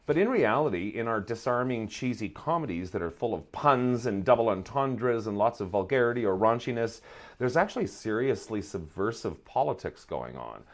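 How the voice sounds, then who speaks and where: A man's voice: on the low side, very animated, moderate pace. A middle-aged man giving reflective, articulate interview answers, emphatic but often searching for his words. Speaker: guest, playwright and drag performer.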